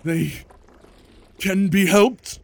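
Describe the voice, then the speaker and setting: Gravelly, guilt-ridden proud king. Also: sits high, very slow, darkish tone, slight background noise, heavily acted. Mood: pleading. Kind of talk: horror-fantasy audio drama acting.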